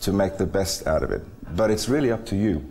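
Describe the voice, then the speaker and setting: Low-voiced man giving a welcome talk. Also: animated, fairly quick, darkish tone. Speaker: project organizer. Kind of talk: welcome speech.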